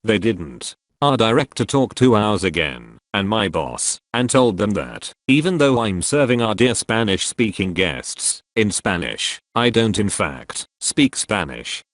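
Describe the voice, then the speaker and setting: Low-voiced man reading a story. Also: sing-song, unhurried, few pauses, no room sound. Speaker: narrator. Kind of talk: scripted narration of a reddit story.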